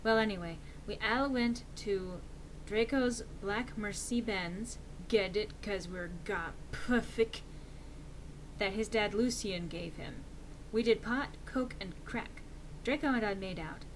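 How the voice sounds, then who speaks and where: A woman's voice: mid-range voice, slow pace, a little hiss or hum, low-quality recording. A woman reading fan fiction aloud as a dramatic, mock-serious narration with theatrical emphasis.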